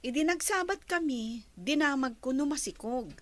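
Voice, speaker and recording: A woman's voice: high-pitched, animated, normal speed, fairly bright tone, dry recording. An actress with a Filipino accent playing an earnest, concerned young woman in a radio drama.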